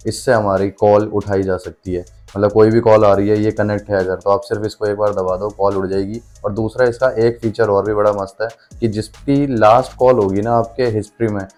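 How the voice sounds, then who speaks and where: Male vlogger, low and level delivery, chatty hands-on explainer tone, light music underneath. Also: steady tone, fairly quick, hardly any pauses, a little mellow. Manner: informal, chatty, addresses viewers as brother. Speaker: motorcycle vlogger and product reviewer. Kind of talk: casual hands-on product demonstration.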